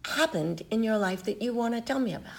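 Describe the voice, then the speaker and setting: Encouraging woman interviewer, slightly high voice. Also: expressive, fast, gravelly. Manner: encouraging, frequent short backchannels. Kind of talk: casual interview.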